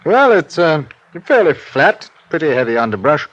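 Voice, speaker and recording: A man's voice: fairly high pitch, sing-song, moderate pace, a bit bright, no room sound. A man playing a courteous, cautious British colonial police inspector in an old-time radio drama, polite and understated. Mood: musing. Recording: dull vintage radio recording.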